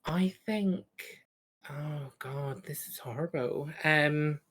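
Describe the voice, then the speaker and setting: A woman's voice: deep voice, takes it slowly, husky. A woman co-hosting a podcast, chatting casually through a review in a hesitant, giggly way. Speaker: podcast co-host.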